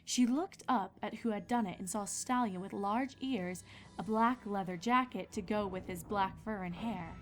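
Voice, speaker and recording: A woman's voice: mid-range voice, normal speed. A woman reading scripted story narration as the narrator of a fan fiction. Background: music.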